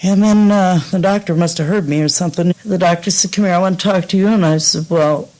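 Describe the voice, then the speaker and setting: A woman's voice: on the low side, quick pace, a little mellow, low-quality recording. A woman recounting her experience in an unscripted 1950s radio interview. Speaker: interviewed mother. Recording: 1950s radio documentary tape.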